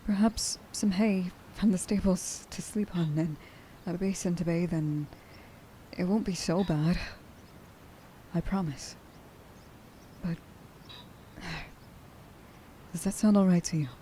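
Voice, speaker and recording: A woman playing a tender, emotional young huntress in an ASMR roleplay, speaking softly and breathily and often dropping toward a whisper. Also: on the low side, fairly even intonation, slow pace, pauses often, a little hiss or hum. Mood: earnest, reassuring. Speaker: voice actor.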